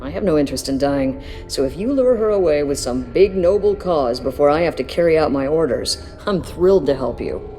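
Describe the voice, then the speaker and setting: An actress playing a dutiful, weary police detective in a video game, speaking plainly. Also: female voice, sits low, lively intonation, a little fast, mellow, big-room sound, faint music behind. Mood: firm, wry.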